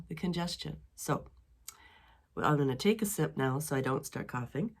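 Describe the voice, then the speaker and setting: A woman's voice: sits low, darkish tone. A middle-aged woman hosting a knitting podcast from her dining room at home, talking in a chatty, rambling way with a stuffy head from a cold. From western Wisconsin. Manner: chatty and rambling, with many ums and restarts. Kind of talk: casual chat.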